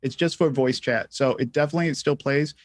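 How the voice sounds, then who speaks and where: A man hosting a casual live software tutorial, thinking aloud in a halting way as he explains things step by step. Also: mid-range voice, dry recording, studio quality. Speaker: livestream host and software instructor.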